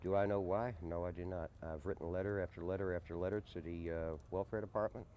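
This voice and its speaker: Deep-voiced mellow man, noisy recording. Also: fairly even intonation. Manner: deliberate, repeats questions back before answering. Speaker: interviewee, desert camp resident and odd-job handyman. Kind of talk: documentary interview, conversational. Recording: noisy early-90s amateur documentary tape.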